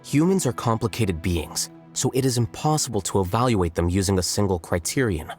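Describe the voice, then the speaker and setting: A man plays a composed scholar investigator in a game, with a low voice. He speaks in precise, detached, measured phrases, with soft music behind him. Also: expressive, lightly acted. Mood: analytical. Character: calm, coolly logical male scholar investigator.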